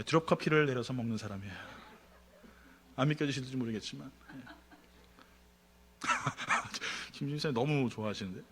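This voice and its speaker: A man preaches a sermon in a church sanctuary, talking quickly in a bright, high voice. He swings from emphatic peaks to quiet asides. A long reverb from the hall trails his words. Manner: animated preaching, rising emphatic then dropping to quiet asides. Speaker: pastor.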